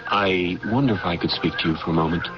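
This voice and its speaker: An actor playing an earnest, scheming general's aide in an old-time radio drama, deferential and persuasive in manner. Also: male voice, low-pitched, quick pace, over music, close to an everyday voice. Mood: worried, confiding. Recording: muffled, noisy old radio broadcast recording.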